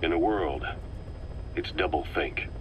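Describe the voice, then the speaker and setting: A man acting a calm, calculating middle-aged intelligence operative in a video game, speaking in a measured, deliberate way. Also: deep voice, a little hiss or hum, poor audio. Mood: measured, resolute. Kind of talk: dramatic game dialogue, briefing.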